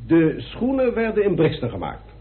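A man's voice: a little high, huge pitch swings, normal speed. A man playing a deferential, matter-of-fact police inspector reporting his findings in a radio drama. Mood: factual. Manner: respectful, factual, clipped reporting. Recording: tinny band-limited old radio recording.

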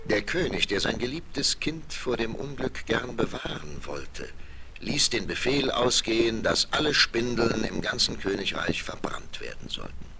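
Guy reading a fairy tale, very animated, creaky voice, expressive, old vinyl. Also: mid-range voice. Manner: expressive, deliberate storytelling. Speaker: storyteller. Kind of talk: scripted fairy-tale narration. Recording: noisy 1970s vinyl record.

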